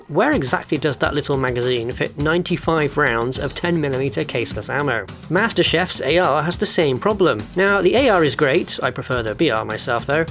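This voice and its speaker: A man delivering scripted video narration with casual asides in a wry, opinionated manner. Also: fairly high pitch. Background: background music.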